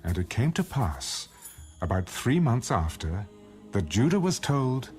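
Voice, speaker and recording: A man's voice: mid-range voice, sing-song, a little slow, darkish tone. A man narrating a dramatized audio Bible in a storytelling style, with music underneath.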